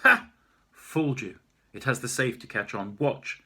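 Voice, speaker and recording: A man's voice: mid-range voice, normal speed, sharp tone, breathy edge, exaggerated voice. A man voicing a volatile, deranged young woman artist who threatens and taunts. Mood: taunting.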